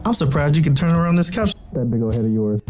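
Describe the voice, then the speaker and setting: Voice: medium pitch, lively intonation, fast talker, warm tone, faint music behind, natural voice. An actor playing a flippant, teasing little brother in an audio drama, slangy and smart-alecky. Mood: cocky teasing.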